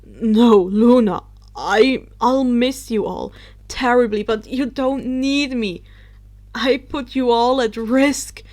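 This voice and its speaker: A woman plays a teenage wizard boy who blames himself for everything. The delivery is very slow and halting, dejected, with words repeated as the storybook character struggles on. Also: on the high side, darkish tone. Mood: sad, resigned.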